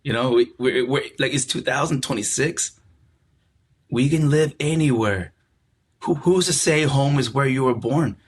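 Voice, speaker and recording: A man's voice: mid-range voice, unhurried, husky. Reflective california guy on a podcast, middle-aged, repeats himself looking for words, easygoing chat. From California, USA. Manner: reflective, warm, repeats words when searching for them. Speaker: podcast guest. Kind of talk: casual conversational interview.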